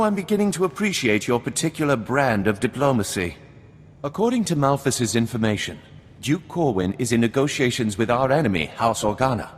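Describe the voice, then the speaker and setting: An actor playing a composed, calculating nobleman in a video game, formal and measured with a dry wit. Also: mid-range voice. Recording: long hall reverb, faint music.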